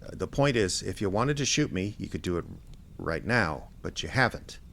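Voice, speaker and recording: Casting: male actor as deep-voiced American gumshoe for radio play, wry, plainspoken, persistent, clear noise in background. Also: lively intonation, moderate pace, fairly bright tone, exaggerated voice. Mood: nervous, reasoning. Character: wry, persistent male small-time private investigator. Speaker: lead radio drama actor. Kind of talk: audio drama dialogue and first-person detective narration.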